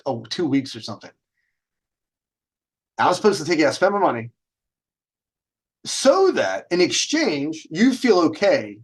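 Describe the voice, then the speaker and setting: A man's voice: medium pitch, slightly rough. A man hosting a dating advice show, telling stories casually in a brash, emphatic way, drawing out stressed words and throwing in rhetorical questions. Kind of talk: casual storytelling monologue with advice to viewers.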